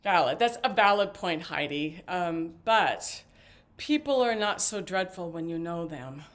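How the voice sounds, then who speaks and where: A woman's voice: lowish voice, expressive, unhurried. An actress playing a talkative, flippant older mother in a stage play, rambling and joking theatrically with stammered asides. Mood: subdued.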